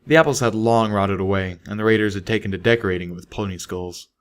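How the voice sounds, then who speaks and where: A man's voice: fairly low pitch, fast, clean tone. A man reading a scripted first-person story as an audiobook narrator. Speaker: audiobook reader.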